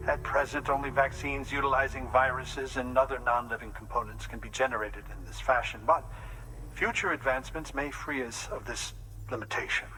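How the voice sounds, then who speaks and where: A man playing a calm, precise doctor. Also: medium pitch, slight background noise, natural voice, rough recording. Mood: explaining. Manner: measured, explanatory, slightly fussy. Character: calm clinical male doctor, precise, reassuring. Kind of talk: video game cutscene acting. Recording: score music in the background.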